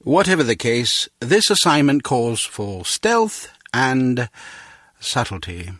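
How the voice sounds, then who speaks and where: A man playing a formal, measured, understated chief of a spy agency in a video game. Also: medium pitch, sing-song, a bit bright, no echo, studio quality, exaggerated voice. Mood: measured, firm.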